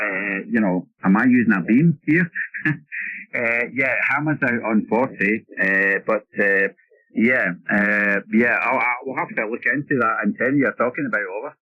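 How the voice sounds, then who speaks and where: A man talking over a radio transmission, chatting casually in a halting, repetitive way as a ham operator. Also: medium pitch, husky. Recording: dull band-limited ham radio signal.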